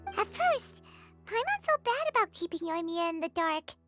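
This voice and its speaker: A tiny, cheeky fairy girl for an anime game. The voice is extremely high and squeaky, swinging wildly up and down in an exclamatory, childlike way. Loud game music plays behind it. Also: very animated, normal speed. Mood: worried. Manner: refers to self in third person, exclamatory. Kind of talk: anime video game voice acting.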